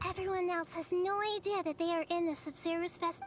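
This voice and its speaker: A tiny wise girl goddess in an anime game, really high gentle voice, explains carefully, noise behind it. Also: fairly even intonation. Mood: patient. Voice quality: small, girlish. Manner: measured, articulate, explanatory. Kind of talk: anime video game voice acting. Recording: game stream with background noise.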